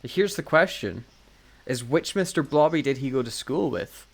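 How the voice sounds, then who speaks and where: A man's voice: mid-range voice, lively intonation, normal speed, creaky, noisy background. A man co-hosting a podcast in casual banter, with wry, jokey asides. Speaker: podcast co-host.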